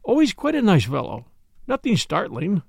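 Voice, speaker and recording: A man playing an earnest, easily flustered gentleman sidekick in an audiobook story, hesitant and a little stiff. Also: high-pitched, wildly swooping pitch, moderate pace, gravelly, breathy edge, dry recording, studio quality, voice pushed up, full character voice. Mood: lame, unsure.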